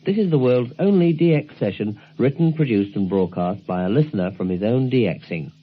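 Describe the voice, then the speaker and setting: A man presenting a radio programme, giving measured, informative scripted narration. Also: medium pitch, lively intonation, breathy edge. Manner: measured, informative announcer delivery. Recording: tinny narrow-band 1970s shortwave broadcast recording.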